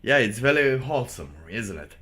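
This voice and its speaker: A man hosting a casual solo livestream, giving sardonic commentary in a halting way and repeating phrases for emphasis. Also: medium pitch, very animated, noisy background. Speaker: livestream host.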